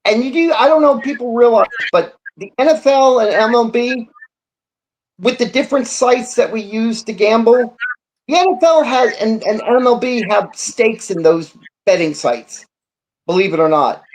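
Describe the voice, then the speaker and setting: A man's voice: extremely high pitch, lively intonation, moderate pace, low-quality recording. Man co-hosting opinionated sports talk. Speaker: sports talk show co-host. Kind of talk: casual opinionated sports discussion.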